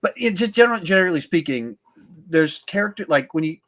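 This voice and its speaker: A man's voice: high-pitched, animated, quick pace, fairly bright tone. A man hosting a podcast, casually discussing films and reading out viewer questions in an emphatic, conversational way, thinking aloud as he goes. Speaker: podcast host.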